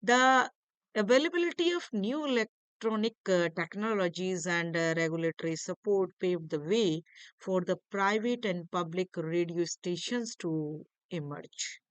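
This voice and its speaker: A woman reading a scripted academic lecture to learners in halting, deliberate phrases. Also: lowish voice, smooth, dry recording.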